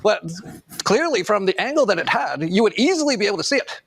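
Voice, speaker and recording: A man's voice: sits high, very animated, fast talker, husky. A man giving casual, rambling online commentary, often chuckling sardonically as he makes his points.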